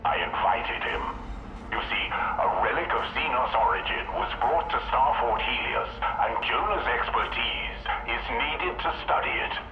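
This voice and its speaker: A stern, secretive male Inquisitor from a sci-fi game, played by an actor. He talks very slowly and with authority. The voice is dulled and echoing, with music underneath. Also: medium pitch, lightly acted. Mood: stern, guarded. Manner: deliberate, authoritative, clipped. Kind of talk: scripted video game voice acting. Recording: echoey, dull band-limited transmission, music behind.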